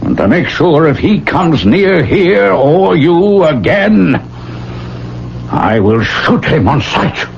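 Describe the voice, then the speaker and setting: A man's voice: a little high, very animated, slow pace, creaky, noisy background, heavily acted. A man acting in a 1970s radio drama as a domineering, bigoted, threatening middle-aged father who rules his island like royalty. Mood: stubborn, cold. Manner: commanding, threatening. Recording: muffled old radio broadcast, loud music.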